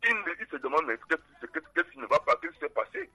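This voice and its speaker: A man's voice: on the high side, huge pitch swings, brisk, bright, forceful tone, slightly rough, no room sound, faint music behind. A man in his forties calling into a radio show, telling a story with laughter. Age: 40s. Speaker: caller. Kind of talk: phone-in storytelling. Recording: tinny phone line.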